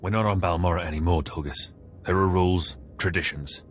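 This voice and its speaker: An actor playing a smug, proud rival Sith acolyte in a video game, menacing in a controlled, condescending, taunting way. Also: male voice, low voice, steady tone, a little fast, a little hiss or hum, lightly acted.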